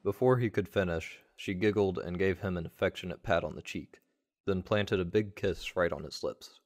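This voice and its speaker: A man with a deep voice tells a story in the first person. His delivery is scripted and wry, like a storyteller reading his own tale. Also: moderate pace. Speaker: story narrator.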